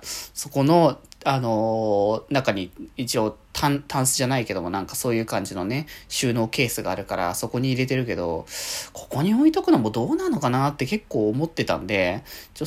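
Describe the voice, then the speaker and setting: Guy doing a chatty solo radio show, kinda rambly, pitch jumps around a ton. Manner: rambling, conversational. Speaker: radio talk host. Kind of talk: casual solo chat.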